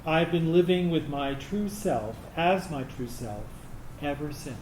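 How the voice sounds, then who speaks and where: Proud, free-spirited veteran played by a man, higher male pitch, slow emphatic delivery, roomy stage sound. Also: a little mellow, lightly acted. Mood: reflective, proud. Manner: deliberate, emphatic phrasing. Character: self-accepting Air Force veteran, free and defiant. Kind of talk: dramatic monologue in a staged reading. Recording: echoey room, faint background noise.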